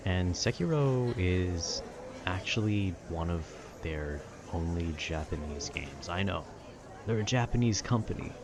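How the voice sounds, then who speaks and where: A man's voice: low-pitched, lively intonation, a little slow, a crowd in the background. A man rambling casually and conversationally about video games in a nerdy, meandering way. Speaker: content creator.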